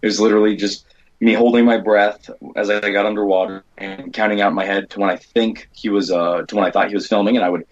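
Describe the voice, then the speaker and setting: An American man with a low voice and a husky edge talks casually as an interview guest. He rambles and acts out bits of dialogue as he goes. The audio is dull and lo-fi. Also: fast. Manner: rambling, animated retelling with quoted dialogue. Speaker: guest, indie horror filmmaker and stunt performer.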